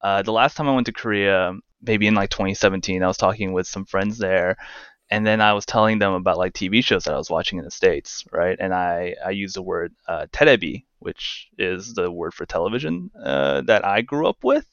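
A man around thirty speaks in a casual interview. His voice is low and resonant, his talk loose and wry, and it is full of "uh" and "like." The recording sounds dry and a little dull, like lo-fi remote audio. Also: fairly quick, a bit bright, smooth, no room sound. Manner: rambling and wry, heavy with "uh" and "like". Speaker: guest, games news editor.

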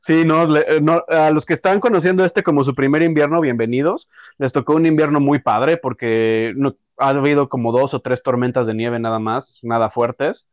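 A man in his late thirties with a Mexican accent, hosting a relaxed interview podcast in a chatty, slangy way. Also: mid-range voice, moderate pace, no room sound, muffled recording. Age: late 30s. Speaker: podcast host. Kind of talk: casual interview chat.

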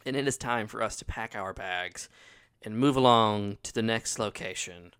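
A man's voice: lowish voice, moderate pace, a bit bright, smooth. A man co-hosting a podcast in casual, teasing chat, laughing often, with a runny nose. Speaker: podcast co-host.

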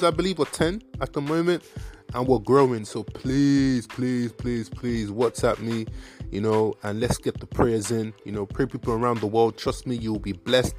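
An earnest young man talks straight to his listeners about faith, repeating himself for emphasis. He leans on casual fillers as he encourages and preaches. Faint music plays quietly under his voice. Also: mid-range voice. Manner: heavy fillers like "like" and "you know what I mean". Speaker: podcast host. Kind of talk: casual faith talk to viewers.

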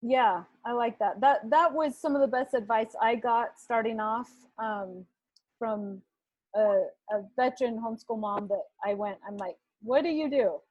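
Breathy higher-voiced woman host. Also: measured pace, darkish tone, no room sound, muffled recording. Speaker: interview host, homeschooling mother. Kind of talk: casual conversation.

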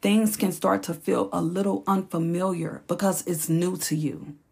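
A woman hosting her own podcast, speaking in a slow, encouraging, reflective way, with a raspy voice and features. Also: fairly low pitch, steady tone, mellow. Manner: slow, encouraging, repeats phrases for emphasis. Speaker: podcast host. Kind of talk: reflective solo podcast talk.

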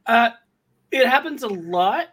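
A man's voice: very high voice, lively intonation, unhurried, sharp tone, breathy edge. A man speaking as a guest in a casual interview, a little hesitant and self-effacing in how he puts things. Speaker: guest, audio drama writer and voiceover worker.

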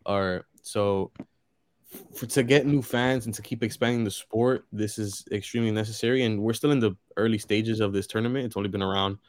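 Dominican podcast co-host, male, smooth and slightly low voice, dry close sound, earnest delivery. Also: fairly even intonation, a little fast, no room sound. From Dominican Republic. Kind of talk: casual sports podcast chat.

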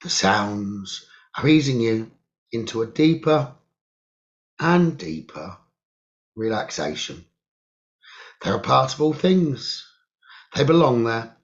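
Meditation teacher, male, medium pitch; soothing guided-relaxation read, hushed and measured with pauses; slightly muffled lo-fi sound. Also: very animated. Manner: measured, hushed delivery in short phrases with pauses.

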